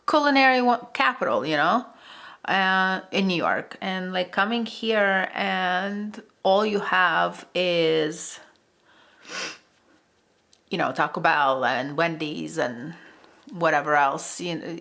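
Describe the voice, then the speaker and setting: A woman's voice: mid-range voice, fairly bright tone. A young woman, chatting casually while doing her makeup, rambling with many fillers and restarts. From Eastern Europe. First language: Russian. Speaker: beauty vlogger. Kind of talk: casual get-ready-with-me chat.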